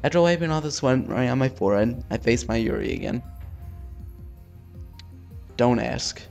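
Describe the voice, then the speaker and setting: A man's voice: medium pitch, expressive, normal speed, vocal fry, a little mellow, faint music behind. A man hosting a gaming video, reading a visual novel aloud casually and stumbling now and then with sweary, self-deprecating asides.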